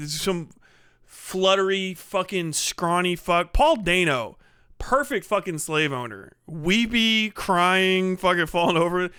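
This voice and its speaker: A man doing a casual, profane comedic rant on a livestream, animated and slipping into mocking impressions. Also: sits high, clean tone, no echo, studio quality. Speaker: streamer.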